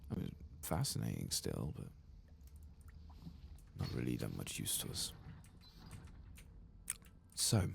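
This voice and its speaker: Male ASMR gamer, extremely low and gravelly, soft-spoken to whispering, faint background noise. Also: wildly swooping pitch. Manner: unhurried, hushed, often slipping into breathy whisper. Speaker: host of a gaming ASMR channel.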